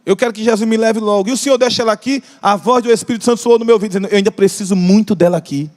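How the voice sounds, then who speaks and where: A man's voice: sits high, a little mellow. A man preaching a sermon with a Brazilian Portuguese accent, impassioned, stretching out key phrases and joking with the congregation. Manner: impassioned, drawn-out emphatic phrases, jokes with the congregation. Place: large church hall. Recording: long church hall reverb.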